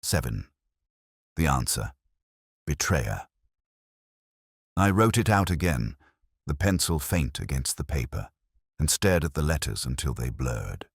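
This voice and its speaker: A man with an extremely deep voice narrates a literary detective story. His delivery is measured and melancholy, yet the pitch rises and falls in lively swings. The sound is dry, with no room echo. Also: creaky, breathy edge. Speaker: audiobook narrator. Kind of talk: scripted first-person detective story narration.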